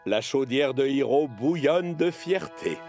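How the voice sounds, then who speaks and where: A man narrating a children's cartoon in a measured, expressive storybook manner. His voice sits at an ordinary middle pitch. Clear cartoon music plays underneath him.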